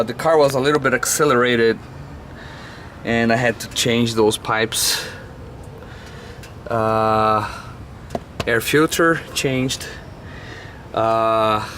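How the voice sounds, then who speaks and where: A man's voice: mid-range voice, a bit bright, a little hiss or hum. A man with a Brazilian Portuguese accent, a native Portuguese speaker, casually showing his car on a handheld phone camera and often searching for words with ums and uhs. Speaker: car enthusiast vlogger. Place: around a parked car.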